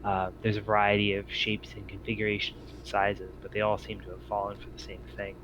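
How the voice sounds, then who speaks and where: A man with a fairly low voice runs a tabletop roleplaying game as game master. He narrates haltingly, working out descriptions as he speaks. Faint noise sits behind him. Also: monotone, a bit bright, lo-fi sound. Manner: halting, thinking aloud.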